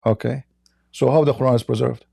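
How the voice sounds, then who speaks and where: A man's voice: mid-range voice, fairly even intonation, fairly quick, gravelly, dark tone, slightly rough, dry recording, clean studio sound. A man hosting a live debate, pressing a caller with repeated questions. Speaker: debate host. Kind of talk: live call-in religious debate.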